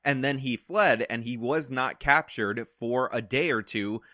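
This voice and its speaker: A man's voice: medium pitch, a little slow, sharp tone, no echo, clean studio sound. A man delivering opinionated news commentary in long, wordy, emphatic sentences. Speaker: political commentary YouTuber.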